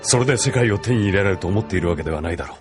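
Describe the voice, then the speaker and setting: Older military colonel, very low voice, stern, measured authority, anime audio drama, music behind. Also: male voice, expressive. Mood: cold, challenging. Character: grave, commanding older male military colonel.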